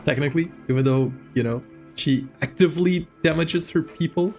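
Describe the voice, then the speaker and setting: A man's voice: on the high side, normal speed, darkish tone, faint music behind. A man giving casual live game commentary as a streamer, in a rambling, joking, informal way.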